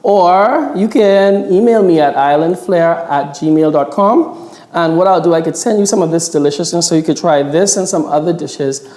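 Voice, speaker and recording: A woman from the Bahamas, walking viewers through a recipe in a relaxed way and dropping in little mm-hmms. Her voice sits low and mellow. It rings a little in a studio kitchen with faint noise behind it. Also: normal speed, reverberant. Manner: relaxed, explains while working, frequent mm-hmms. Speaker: chef. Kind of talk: cooking demonstration.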